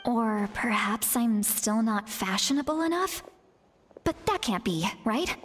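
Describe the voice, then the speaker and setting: A woman voicing a kindly elderly shaman in a video game who strives to sound youthful, chatty, self-assured and gently guiding. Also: a little high, expressive, unhurried, a little hiss or hum. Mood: fretful.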